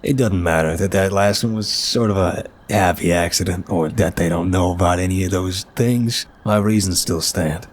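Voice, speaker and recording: A man's voice: low-pitched, normal speed, few pauses. A man playing an apologetic, nervous but charming mafia boss in an ASMR roleplay, chatty, wry and flirtatious. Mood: self-doubting, dry.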